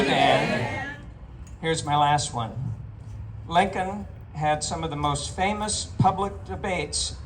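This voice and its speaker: A man's voice: a little high, a little hiss or hum, rough recording. Rousing, rhetorical man. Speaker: rally speaker. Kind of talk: public comment at a rally.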